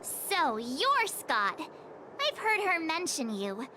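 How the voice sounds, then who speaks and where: A woman's voice: high-pitched, huge pitch swings, extremely slow, background noise, exaggerated voice. An actress playing a breezy, confident young adventurer girl in an anime-style video game, perky and teasing. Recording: game audio with music behind.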